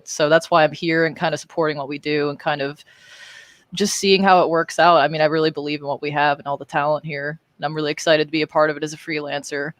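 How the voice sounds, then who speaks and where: A woman's voice: deep voice, no echo. Hesitant woman, dry close sound. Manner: hesitant, full of fillers. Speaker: guest, freelance artist. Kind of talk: casual panel chat.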